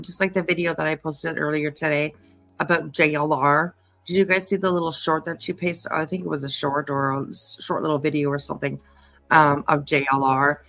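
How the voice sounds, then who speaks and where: A woman hosting her channel, chatting casually and haltingly, with many ums and restarts. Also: low voice, a bit bright. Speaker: channel host. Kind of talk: casual commentary chat.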